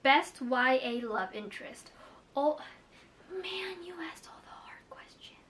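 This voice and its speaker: A woman's voice: high-pitched, lively intonation, slow, fairly bright tone. A young woman from the Philippines whose first language is Tagalog, chatting casually to camera about books, with lots of "like" fillers and self-deprecating asides. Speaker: book vlogger.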